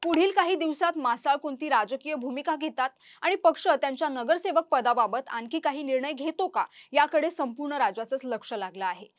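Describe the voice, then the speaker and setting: A woman's voice: high voice, a little fast. A woman presenting scripted news narration.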